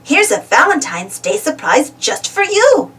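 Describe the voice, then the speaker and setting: A woman's voice: sits high, big pitch swings, measured pace, a bit bright, recorded from a distance, faint music behind, full character voice. A woman voicing a cheerful, eager little girl adventurer in a children's storybook, exclaiming and putting questions to the listener. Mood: happy. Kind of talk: children's storybook read-aloud.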